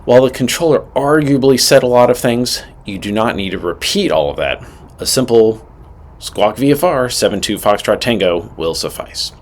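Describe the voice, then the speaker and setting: A man's voice: medium pitch, lively intonation, moderate pace. A man narrating a scripted training lesson as an instructor, in a direct, matter-of-fact way with emphatic points. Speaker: instructor presenting a pilot training video.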